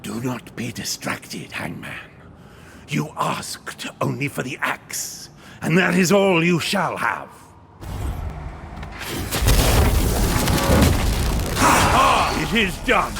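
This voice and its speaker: An actor playing an imperious undead spirit commander in a video game, gravelly and theatrical, cruel and full of disgust for the living. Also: male voice, a little high, sing-song, full character voice. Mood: haughty, commanding. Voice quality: gravelly, creaking, hissing. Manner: slow, grandiose, menacing, swinging from whisper to shout.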